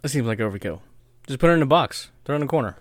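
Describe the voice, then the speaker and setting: Podcast dude with dry jokes, mid voice, dead dry sound. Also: male voice, mid-range voice, animated, racing, no room sound. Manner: joking, wry asides. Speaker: podcast co-host. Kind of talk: casual podcast chat.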